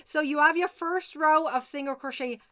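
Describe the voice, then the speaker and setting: The bright, very high voice of a woman you would hear on a crafting livestream, chattily walking followers through each step and insisting they keep up. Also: normal speed. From Germany. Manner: chatty, insistent, often checking with "okay?". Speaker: crochet tutorial host. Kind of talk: live step-by-step craft tutorial.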